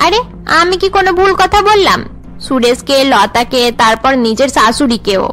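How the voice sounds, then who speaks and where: A woman's voice: high voice, normal speed, a bit bright, faint music behind. A woman voicing a blunt older auntie in a cartoon, chiding and moralizing with emphatic delivery. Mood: taunting, scolding. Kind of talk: melodramatic cartoon dialogue.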